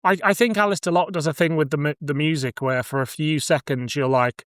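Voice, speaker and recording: A man's voice: on the high side, steady tone, fairly quick, dry recording, clean studio sound. A man hosting a podcast, chatting casually through a review and thinking aloud as he hedges his points. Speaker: podcast host.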